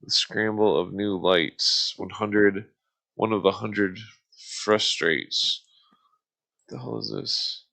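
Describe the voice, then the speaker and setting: A man reading aloud casually on a live stream, halting and stumbling over formal text, with muttered asides. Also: lowish voice, steady tone, vocal fry, bright, forceful tone.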